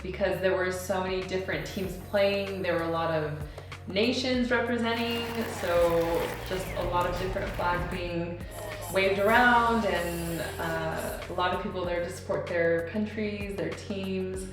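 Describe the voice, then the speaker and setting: A woman's voice: on the low side, steady tone, unhurried. A young woman vlogging casually, rambling and leaning on "like" as she talks. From United States. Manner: rambling, heavy use of "like". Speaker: travel vlogger. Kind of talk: casual vlog chat. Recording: echoey room with music behind. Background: background music.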